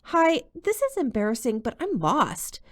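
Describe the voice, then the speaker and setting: A woman voicing a polite, bright teenage girl detective in an audiobook. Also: high-pitched, wildly swooping pitch, measured pace, pitched above the performer's own voice, full character voice. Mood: polite cheerful.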